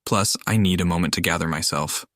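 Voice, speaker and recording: A man's voice: low voice, a little fast, fairly bright tone, dry recording, studio quality. A man, a gaming streamer, giving reflective personal commentary on a game he plays.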